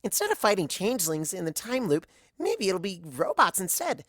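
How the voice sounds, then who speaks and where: A narrator voicing a bubbly, excitable young female cartoon pony who gushes and rambles. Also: mid-range voice, normal speed, no room sound, clean studio sound, voice pushed up. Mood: excited, rambling. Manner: gushing, exclaiming, rambling.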